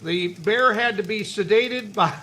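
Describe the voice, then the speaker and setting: A man's voice: high voice, measured pace, pushed and bright. A middle-aged American man co-hosting a podcast in a casual, conversational style, telling things like everyday anecdotes. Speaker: podcast co-host.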